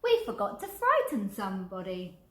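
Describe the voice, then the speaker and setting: Woman voicing an animated, playful big skeleton. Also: mid-range voice, moderate pace. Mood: puzzled. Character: big male skeleton, playful, eager, slightly puzzled. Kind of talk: children's picture book read-aloud. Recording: roomy, echoing indoor sound.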